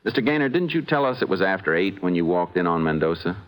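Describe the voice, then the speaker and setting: An actor playing a calm, methodical lawman with a measured, probing manner in a vintage radio drama. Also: low voice, rough recording. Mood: calm reasoning. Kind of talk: 1950s radio acting. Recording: hissy vintage radio recording.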